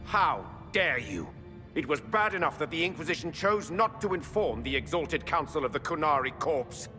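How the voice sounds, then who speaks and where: A stern, accusing envoy, his voice trailing with long reverb over loud music. He sounds suspicious and indignant in this fantasy game. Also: on the high side, sing-song, moderate pace. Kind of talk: video game voice acting.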